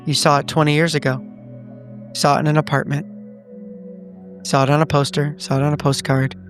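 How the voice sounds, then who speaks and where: A man's voice: fairly high pitch, flat delivery, fairly quick, faint music behind. A man playing a tabletop roleplaying game, speaking in character in short phrases that echo one another. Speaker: tabletop roleplay podcast player.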